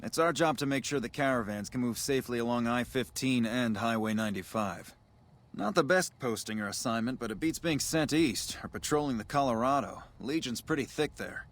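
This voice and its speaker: A man's voice: medium pitch, lively intonation, a little fast, a bit bright, natural voice. A man voicing a weary, matter-of-fact army major stationed at a desert border outpost in a video game. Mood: businesslike, guarded. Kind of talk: video game dialogue acting.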